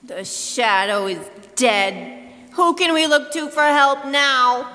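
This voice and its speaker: A woman's voice: high voice, takes it slowly, sharp tone, heavily acted. Woman as plucky old beggar woman, echoey hall. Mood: despairing. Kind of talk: live old-time radio crime drama acting. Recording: live stage in echoey hall.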